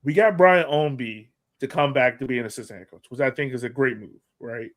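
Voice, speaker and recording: A man's voice: medium pitch, fairly quick, fairly bright tone. A man hosting a sports podcast, giving casual fan commentary with playful, acted-out banter.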